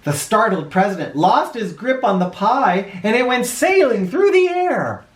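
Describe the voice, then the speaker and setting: A man's voice: sits high, very animated, a little slow. A man reading a children's storybook aloud in a theatrical, playful way. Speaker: children's storybook reader, host. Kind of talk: animated read-aloud narration with asides. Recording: roomy echo.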